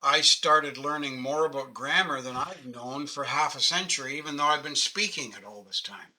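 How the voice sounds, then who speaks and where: A man's voice: on the high side, moderate pace, sharp tone, poor audio. A man in his late sixties from Canada with a Canadian accent, patiently explaining grammar in a casual online class over a webcam. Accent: Canadian English. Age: 60s. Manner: patient, conversational, self-deprecating. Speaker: webinar presenter. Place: home office. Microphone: webcam video call.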